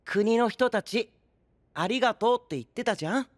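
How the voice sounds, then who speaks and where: A man's voice: high voice, expressive, fairly quick, no echo. A man playing a cool, slightly sardonic young knight in an audio drama, casual and nonchalant. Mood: offhand. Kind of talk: anime-style audio drama acting.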